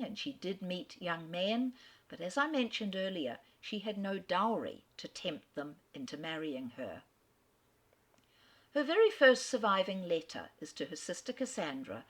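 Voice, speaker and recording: A middle-aged woman giving a recorded talk on an author, clear and measured, with wry asides. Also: fairly low pitch, a bit bright. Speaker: literary speaker.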